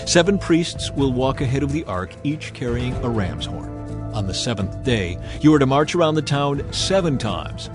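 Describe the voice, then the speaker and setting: A man's voice: mid-range voice, natural voice. A man voicing a solemn, commanding God in a dramatized Bible, with emphatic, authoritative pronouncements. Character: the Lord, commanding solemn male deity. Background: background music.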